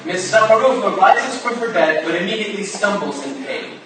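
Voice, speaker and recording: A man's voice: high-pitched, animated, a little fast. A man narrating stage directions at a scripted staged reading. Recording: distant, very echoey room, clear noise.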